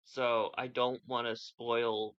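A man co-hosting a casual podcast chat, matter-of-fact and quick to finish his co-host's sentences. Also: mid-range voice, level tone, dull audio. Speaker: podcast co-host.

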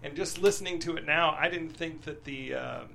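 A man's voice: fairly high pitch, normal speed, vocal fry, pushed and bright. A man speaking as a screenwriter guest, talking candidly and passionately about his writing in a rambling way, often checking that listeners agree.

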